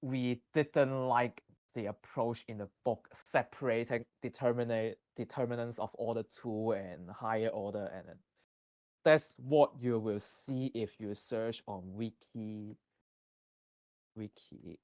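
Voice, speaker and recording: A man with a Hong Kong accent presenting a math lesson from slides, halting and full of restarts. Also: medium pitch, steady tone, a little mellow, no room sound. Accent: Hong Kong English. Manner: halting, many restarts and fillers. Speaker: guest presenter.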